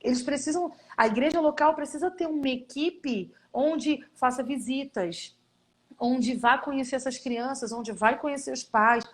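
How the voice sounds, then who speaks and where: A Brazilian woman with a fairly high voice speaks earnestly about her missionary work. She tells her stories with animation and slips into quoted voices as she goes. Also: moderate pace. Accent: Brazilian Portuguese. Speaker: missionary guest. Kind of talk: casual testimony in a live stream.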